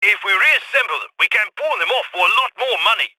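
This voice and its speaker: A bright, high-voiced heist planner barks brisk, wry orders to his crew. The sound is dry and close, with no room around it. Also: expressive, no echo, heavily acted. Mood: businesslike. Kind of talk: game voice lines.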